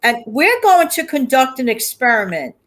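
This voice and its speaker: A woman's voice: high-pitched, sing-song, normal speed, fairly bright tone. A woman hosting a livestream in casual chat, repeating words for emphasis. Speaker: host of a livestream.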